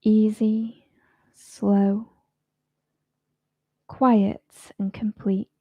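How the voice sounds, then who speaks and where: A woman teaching yoga nidra, leading a guided relaxation in a soft, soothing, informal way. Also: medium pitch, flat delivery, extremely slow, no room sound, lo-fi sound.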